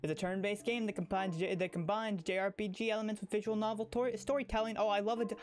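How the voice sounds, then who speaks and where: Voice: mid-range voice, fairly even intonation, fast. A livestreamer chatting casually and emphatically, rambling, often repeating phrases and restarting sentences.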